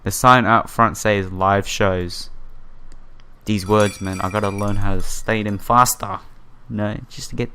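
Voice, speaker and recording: A man's voice: on the low side, fairly even intonation, unhurried, bright, forceful tone, smooth. A man doing a playthrough of a visual novel, reading the text aloud with casual commentary and stumbling over some words. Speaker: let's player. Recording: background music behind the voice. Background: game music.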